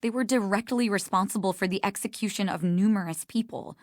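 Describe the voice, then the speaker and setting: An actress voicing an earnest, guarded young museum worker in a video game, speaking emphatically and protectively. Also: female voice, mid-range voice, a little fast, no echo, studio quality, lightly acted. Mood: confessional, upset.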